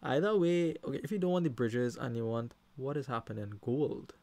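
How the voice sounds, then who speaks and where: A man's voice: mid-range voice, brisk. A man with a Trinidadian accent giving live commentary on a card game, talking to the players and cheering at big plays. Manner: animated, talks to the players, shouts when excited.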